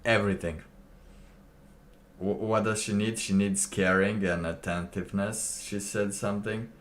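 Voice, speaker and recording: A man streaming alone, reacting to a clip with slow, musing casual commentary and laughing to himself. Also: lowish voice, fairly even intonation, slight background noise.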